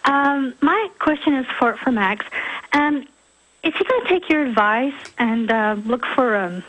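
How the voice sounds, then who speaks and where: A woman from Texas calling into a radio show, nervous and giggling, speaking haltingly. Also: sits high, lively intonation, a bit bright, poor audio. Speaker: caller.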